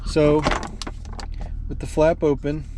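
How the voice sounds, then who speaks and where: A man giving a casual hands-on repair walkthrough as a vlogger, thinking aloud haltingly as he works in or beside a truck. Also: fairly high pitch, monotone. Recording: clearly noisy vehicle ambience.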